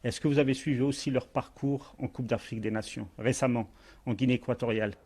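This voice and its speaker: Husky, gravelly interviewer man. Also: medium pitch, fairly even intonation, darkish tone. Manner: hesitant, drawn-out questions. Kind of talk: formal interview questions.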